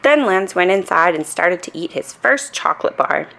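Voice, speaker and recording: A woman reading a children's story aloud in an expressive, deliberate way with dramatic emphasis. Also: fairly low pitch, gravelly, a little hiss or hum. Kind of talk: animated bedtime story read-aloud.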